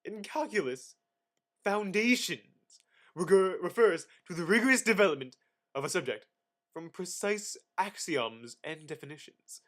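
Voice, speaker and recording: Bright, high-pitched young man reading theatrically. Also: lively intonation, unhurried. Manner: theatrical, exaggerated emphasis with sudden loud and hushed phrases. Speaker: student reader. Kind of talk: dramatic reading of an encyclopedia article.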